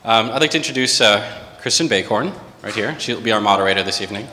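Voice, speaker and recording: A man's voice: lowish voice, sharp tone, slight background noise. A man hosting a panel event, introducing the sponsor and reading out the moderator's bio. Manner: rapid, reading a prepared bio. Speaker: event host, company CEO. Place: event space with long reverb. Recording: reverberant room, faint ambience.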